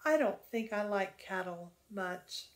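A woman's voice: mid-range voice, expressive, takes it slowly. A woman reading the lines of a small, eager pioneer girl in a storybook, in a little, trembling voice. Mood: pleading.

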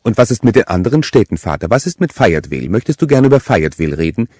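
A man's voice: big pitch swings, racing, dry recording, studio quality. A man voicing a cold killer who mockingly taunts his captive. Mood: taunting. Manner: mocking, menacing, louder and higher than the narration.

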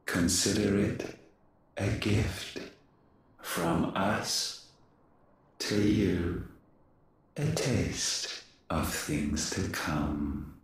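An actor playing a cold, imperious godlike villain in a fantasy game, speaking with lofty condescension. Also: male voice, low-pitched, very slow, pauses often. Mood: cold menace. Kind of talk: dramatic video game villain acting. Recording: cavernous echo, distant voice.

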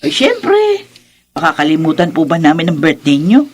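A woman's voice: low-pitched, wildly swooping pitch, normal speed, breathy edge, exaggerated voice. A Filipino woman playing a humble, good-natured married niece in a radio drama, polite and deferential to her elders. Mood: polite, easygoing.

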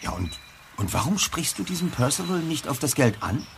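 An insistent, articulate young detective in an audio drama. His pitch swings expressively as he probes in formal words. Faint ambience sits behind him. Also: big pitch swings, brisk, a little hiss or hum. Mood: insistent, serious. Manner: articulate, probing, formal wording. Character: precise, insistent teenage boy detective, inquisitive and serious. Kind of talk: German audio drama acting.

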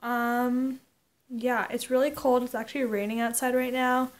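A woman's voice: on the high side, level tone, moderate pace, very noisy. A young woman chatting casually to a vlog camera in an informal, hesitant way with trailing phrases. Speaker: vlogger. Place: indoors, bedroom and hotel suite.

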